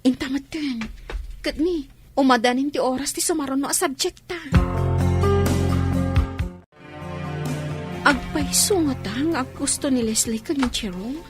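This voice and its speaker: A woman's voice: sits high, normal speed, loud music behind the voice, lightly acted. A woman playing an earnest, dutiful young student with an Ilocano accent in a Philippine radio drama. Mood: curious.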